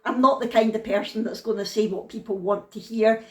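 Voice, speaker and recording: A woman's voice: a little high, steady tone, fairly quick, a little mellow, roomy sound. A woman with a thick Scottish accent, chatting frankly and down to earth in a casual vlog. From Scotland. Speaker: vlogger.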